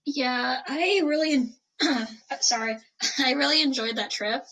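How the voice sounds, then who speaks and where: A young woman speaking softly and hesitantly in a casual chat. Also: high voice, measured pace, rough recording. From United States.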